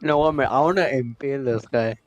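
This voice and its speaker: A man's voice: mid-range voice, a little fast. A man co-hosting a game livestream with casual, playful banter and stammering restarts. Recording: dull lo-fi microphone.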